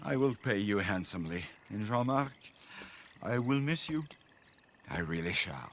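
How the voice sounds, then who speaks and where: An actor playing an effusive, corrupt city mayor in a video game, speaking with flowery, oily politeness and pompous theatricality. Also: male voice, lowish voice, lively intonation, unhurried, a bit bright, a little hiss or hum. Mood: bitter, self-righteous. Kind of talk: dramatic video game acting.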